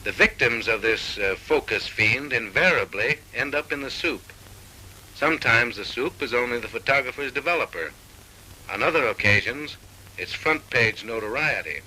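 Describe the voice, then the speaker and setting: A man's voice: medium pitch, animated, normal speed, bright, forceful tone, slight background noise, poor audio. A man narrating a 1930s comic film with mock-serious, arch, theatrical delivery on an old film soundtrack.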